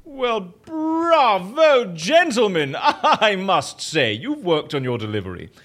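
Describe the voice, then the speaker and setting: An actor playing a fussy, sceptical gentleman in a comic audio drama, speaking in an arch, polite, wordy way. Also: high-pitched, sing-song, takes it slowly, heavily acted. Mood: arch, amused. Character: fussy, theatrical, sceptical gentleman, unflappable until threatened.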